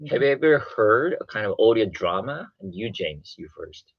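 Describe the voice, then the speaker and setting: Male radio co-host. Lowish pitch, stop-start English, casual, close dull recording. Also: big pitch swings, breathy edge, dry recording. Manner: halting, repetitive non-native English. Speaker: talk show co-host. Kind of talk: casual unscripted radio discussion.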